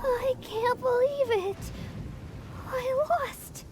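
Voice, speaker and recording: Brief: proud young kid champ in a game, high and drawn-out, excitable. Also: heavily acted. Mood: childish. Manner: gloating, excitable. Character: proud little boy, cocky beetle-fighting champ. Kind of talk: video game voice acting. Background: game music.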